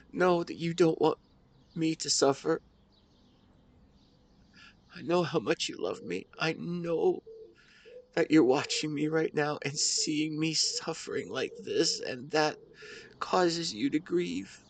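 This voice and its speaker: A man playing a grieving, tender husband in an intimate audio drama monologue, speaking haltingly and earnestly to his late wife. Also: on the high side, lively intonation, a little hiss or hum. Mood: grieving, anguished. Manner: halting, earnest, emotional delivery with pauses. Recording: outdoor ambience with birdsong behind. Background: birdsong and nature ambience.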